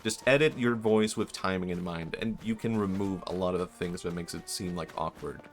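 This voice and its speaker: A man's voice: sits low, a little fast. A young man streaming live, talking casually and hesitantly, with many fillers, restarts and self-mocking asides. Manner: self-mocking, laughs softly. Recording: faint music behind.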